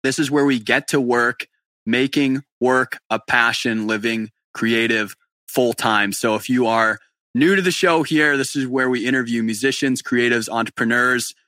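A man's voice: mid-range voice, moderate pace, bright, forceful tone, no echo. A man from New England hosting a podcast interview, talking in a chummy, upbeat, loose way. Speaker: podcast host. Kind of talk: casual live-stream interview chat.

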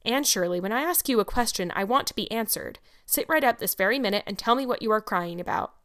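Storybook guardian, read by a woman: stern, older, curt and practical, kind underneath, smooth clear tone. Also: on the high side, lively intonation, a bit bright. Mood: stern, exasperated. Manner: brisk, no-nonsense, curt.

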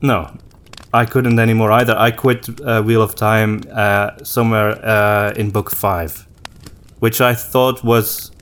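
A man co-hosting a podcast in casual conversation, with hesitant, halting phrasing and repeated words. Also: lowish voice, little pitch movement, fairly bright tone, smooth. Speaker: podcast co-host and story writer.

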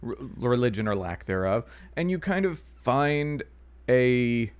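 A man's voice: medium pitch, very animated, a little slow, clear, resonant tone. A man in his thirties chatting casually as a podcast co-host, rambling and hedging with fillers and restarts. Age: 30s. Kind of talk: casual chat.

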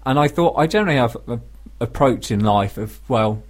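A British man co-hosting a radio show, chatting casually and telling self-deprecating comic stories in an animated way. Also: medium pitch, brisk. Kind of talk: casual comic chat, anecdote.

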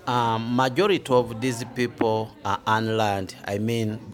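A man's voice: medium pitch, fairly even intonation, measured pace. A man from Uganda with a Ugandan accent, a pastor answering interview questions in earnest, plain words. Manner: plain, earnest, repeats "we are blessed".

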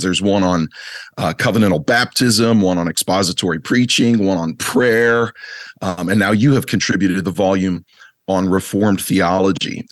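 A male podcast host with a low voice, close to losing it to allergies. He talks in a halting, self-mocking way, full of restarts. The sound is dry and close. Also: a bit bright, no echo. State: on the verge of losing his voice from allergies. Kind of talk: casual podcast interview.

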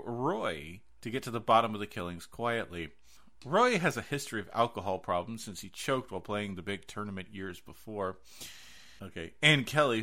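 Bright, forceful American man hosting a podcast. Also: lowish voice. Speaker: horror podcast host. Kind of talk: casual movie recommendation chat.

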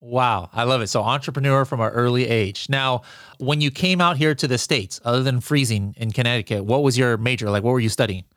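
A man's voice: medium pitch, a little fast, a bit bright, no echo, clean studio sound. A man hosting a podcast interview, upbeat and jokey, with frequent fillers. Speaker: podcast host. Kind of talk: casual interview chat.

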